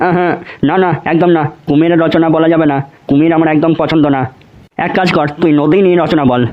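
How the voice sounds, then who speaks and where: A man plays an irritable village schoolmaster in a comedy cartoon, scolding and threatening. His voice sits fairly high for a male, and the audio is a bit dull and lo-fi. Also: steady tone, quick pace, lightly acted. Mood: bossy.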